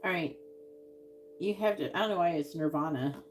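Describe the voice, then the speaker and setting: A woman reading tarot cards on a live stream, musing out loud and trailing off with sighs. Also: sits low, normal speed, slight background noise, rough recording. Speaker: tarot reader.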